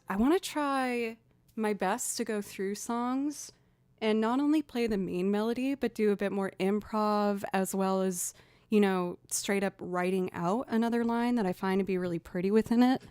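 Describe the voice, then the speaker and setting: Chatty young woman with a lower voice. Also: moderate pace, darkish tone, clear, resonant tone, no room sound, faint music behind. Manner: chatty, thinking aloud with fillers. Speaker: musician streamer. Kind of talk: casual live-stream chat.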